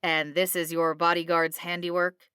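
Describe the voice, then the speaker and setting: A woman's voice: on the low side, little pitch movement, normal speed, fairly bright tone, clear, resonant tone, dry recording, studio quality, lightly acted. A woman voicing a thin, authoritative male military captain in a storybook, sceptical, clipped and sardonic. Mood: questioning.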